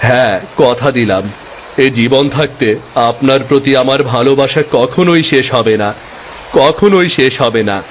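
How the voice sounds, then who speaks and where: A man's voice: mid-range voice, normal speed, slight background noise, natural voice. A man voicing a poor, honest, lovestruck young village boatman in a cartoon tale, polite and emotional. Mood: devoted. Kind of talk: Bengali fairy-tale cartoon dialogue. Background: music.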